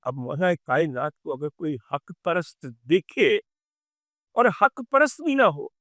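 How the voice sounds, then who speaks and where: A man with a slightly high voice delivers a religious talk as dramatic storytelling. His phrasing is emphatic and oratorical, leaning on repetition and rhetorical questions. The sound is dry, with little room around the voice. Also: very animated, takes it slowly, fairly bright tone, no room sound. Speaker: religious speaker presenting a talk.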